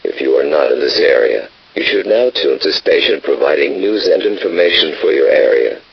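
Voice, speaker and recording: A man plays a grim emergency station announcer with an extremely low voice. He reads formal, official bulletins. The radio sound is dull and lo-fi, with faint hiss. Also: animated, normal speed, creaky, heavily acted.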